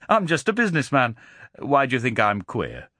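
A man's voice: medium pitch, wildly swooping pitch, moderate pace, sharp tone, dry recording, clean studio sound, exaggerated voice. A man voicing a middle-aged businessman, blustering and trying not to sound condescending.

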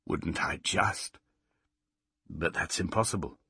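Audiobook role: gentle talking dog, done by a man, low, slow and resigned, husky edge. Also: no room sound, clean studio sound, exaggerated voice. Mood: wistful, resigned. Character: gentle, wistful, sensible talking male dog. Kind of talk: audiobook character voice.

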